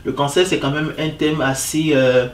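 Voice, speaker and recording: Young man, association president from Côte d'Ivoire, a little higher-voiced, earnest and hesitant, heard in an echoey room from some distance. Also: level tone. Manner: earnest, halting with many fillers. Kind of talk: interview answers.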